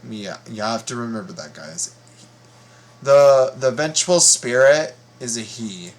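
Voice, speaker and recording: A man giving casual, excitable fan commentary in a halting, repetitive way, full of exclamations. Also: medium pitch. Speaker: YouTube reactor and fan commentator.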